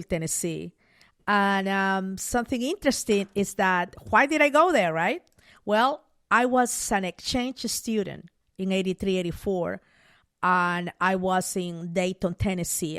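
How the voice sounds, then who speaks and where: A woman's voice: mid-range voice, a little slow, fairly bright tone, no room sound. Middle-aged Peruvian woman, dry sound. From Peru. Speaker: guest author. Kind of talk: podcast interview.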